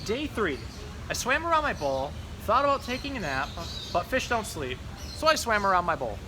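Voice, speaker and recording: A fussy, put-upon pet goldfish read by a man tells his diary in a storybook style. The voice sits a little high, with dry grumbling. Clear ambient noise runs behind it. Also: sing-song, moderate pace. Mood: plain, bored. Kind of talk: children's storybook read-aloud.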